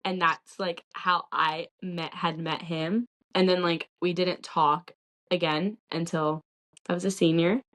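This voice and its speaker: A woman's voice: lowish voice, flat delivery, measured pace, a bit bright. A young woman from California, a podcast guest telling a candid, rambling personal story in casual chat, with many "like" fillers.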